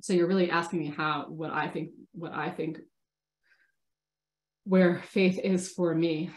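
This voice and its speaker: A woman in her thirties with a lowish voice and a stuffy, sniffly cold teaches a class over a laptop webcam from her home study. She talks thoughtfully and casually, often restarting her sentences. The audio sounds roomy. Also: steady tone, measured pace. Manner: thoughtful, hesitant restarts, warm engagement with participants. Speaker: rabbi teaching an online class. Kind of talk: casual online lecture answering chat questions. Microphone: laptop webcam video call.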